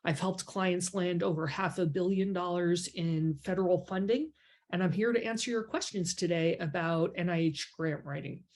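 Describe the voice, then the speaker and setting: Congested woman in her 50s, low-pitched, chatty host explaining on a home video call. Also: normal speed, darkish tone. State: congested from a cold. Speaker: grant writing mentor hosting a members call. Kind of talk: casual webinar talk with many ums. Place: home office with bookshelves. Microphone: webcam on a video call.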